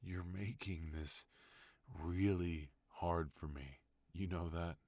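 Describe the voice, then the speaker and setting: A man voicing a protective mafia boss husband in an ASMR roleplay, talking low, firm and softly pleading. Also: fairly even intonation, takes it slowly, dry recording, exaggerated voice. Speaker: voice actor.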